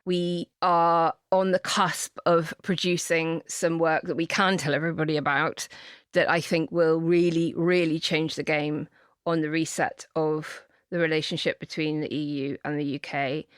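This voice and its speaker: Female podcast host, slightly low voice, dry studio sound, emphatic casual delivery. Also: level tone, moderate pace, clean tone, no room sound. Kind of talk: casual chat and appeals to listeners.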